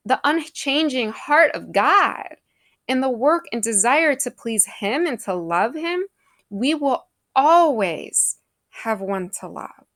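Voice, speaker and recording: A woman's voice: high-pitched, a bit bright, no room sound, studio quality. A young adult woman giving a faith reflection in an earnest, conversational, thoughtful way. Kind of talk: devotional talk.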